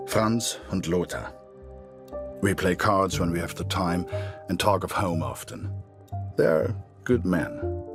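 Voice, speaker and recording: A man's voice: deep voice, heavily acted. An actor playing an earnest, determined middle-aged father turned army engineer, narrating a letter in a video game. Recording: music behind the voice.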